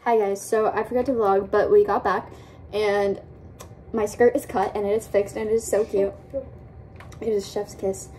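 A woman's voice: mid-range voice, little pitch movement, moderate pace, darkish tone, a little hiss or hum. A young woman in her twenties talking casually to her phone camera, upbeat and chatty with lots of filler words. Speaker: vlogger. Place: home, beach and car. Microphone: phone held at arm's length.

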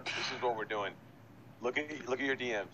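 A young man streaming a game over a headset, joking, swearing and laughing loudly while he plays with a friend. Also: mid-range voice, brisk, background noise. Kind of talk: casual gameplay chat. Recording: slightly dull audio with loud music behind. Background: music playing.

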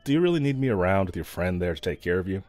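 A man's voice: low-pitched, big pitch swings, rapid-fire, darkish tone. A man playing a snarky, pragmatic yet protective mercenary escort in a fantasy audio roleplay, talking to the listener in a wry, teasing, laid-back way.

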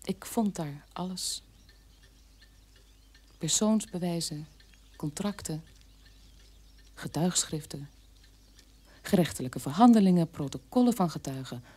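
An actress playing a bitter adult daughter of a war criminal, recounting her childhood in an emphatic, halting radio play monologue. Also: fairly low pitch, expressive, warm tone. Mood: insistent, bitter.

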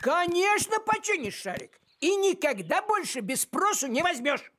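An actor voicing a grumpy, fussy, thrifty tomcat in an animated series, with indignant, sarcastic grumbling. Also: very high voice, normal speed, heavily acted.

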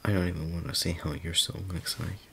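A male voice actor playing a tender daemon boyfriend in an ASMR roleplay, talking softly and often in a whisper, stammering with affection. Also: low-pitched, little pitch movement, fairly quick, vocal fry, slight background noise, heavily acted. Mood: soothing.

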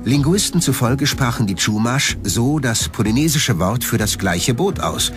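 Male documentary narrator, scripted read with lively pitch movement, music underneath. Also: normal speed. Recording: music behind the voice. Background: background music.

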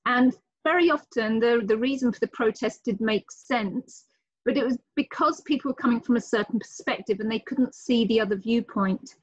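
Middle-aged British woman, guest speaker, higher voice, dry muffled call audio. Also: normal speed, no echo. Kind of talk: live video call talk. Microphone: video call.

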